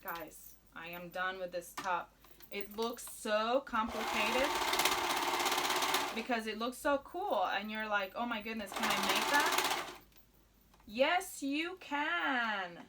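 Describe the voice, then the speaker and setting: A woman's voice: fairly high pitch, takes it slowly, heavy background noise. A woman whose first language is Spanish, chatting upbeat through a sewing tutorial and narrating each step as she works at the machine. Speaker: sewing instructor.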